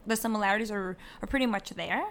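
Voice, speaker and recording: A woman's voice: medium pitch, fast talker, a bit bright. Upbeat woman hosting a podcast. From Hong Kong. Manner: upbeat, playful food wordplay in a scripted intro. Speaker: podcast host. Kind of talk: casual conversational podcast.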